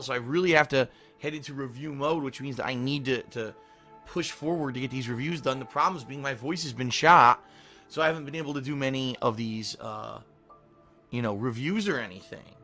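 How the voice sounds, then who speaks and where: Hoarse man streamer, bright tense voice. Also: mid-range voice, a little fast, soft background music. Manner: rambling, frequent "okay?" check-ins and throat clearing. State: hoarse from a swollen throat. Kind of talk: casual pre-stream schedule talk to chat.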